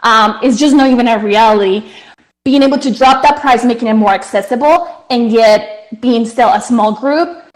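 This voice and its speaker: A woman's voice: on the high side, moderate pace, low-quality recording. A woman from Colombia whose first language is Spanish, earnestly explaining her startup on a video call, with many fillers and restarts. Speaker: startup founder pitching.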